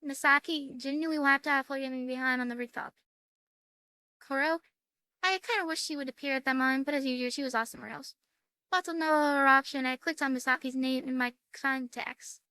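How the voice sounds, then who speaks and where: A woman reading a visual novel aloud as a game streamer, casually and haltingly, dropping in side comments of her own. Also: high-pitched, steady tone, pushed and bright, no room sound. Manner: halting, stumbling read-aloud.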